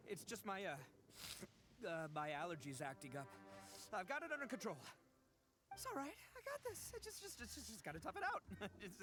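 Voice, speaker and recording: A man's voice: high voice, huge pitch swings, fairly quick, music in the background. A man voicing a brash, hot-blooded young oni gang boss with goofy bravado in an anime video game. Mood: panicked bravado.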